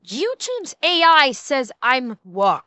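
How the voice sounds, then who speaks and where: A woman's voice: high-pitched, sing-song, takes it slowly, sharp tone, clear, resonant tone, dry recording. A young woman streaming live, talking indignantly to her chat and swearing as she answers viewers.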